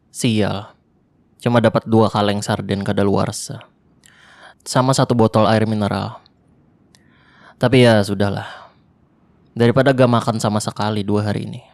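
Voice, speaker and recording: A young man performing an ASMR roleplay monologue in casual slangy speech, tense and hushed at times, close to the mic. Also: fairly low pitch, fairly even intonation, a little slow, gravelly, pauses often, no echo. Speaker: voice actor.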